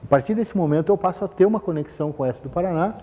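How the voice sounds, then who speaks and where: Man, middle-aged, very warm dark tone, hesitant restarts, long hall reverb. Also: sits high, rapid-fire. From southern Brazil. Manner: rambling, many fillers and restarts. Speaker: business manager giving a talk. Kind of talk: conversational talk, personal history.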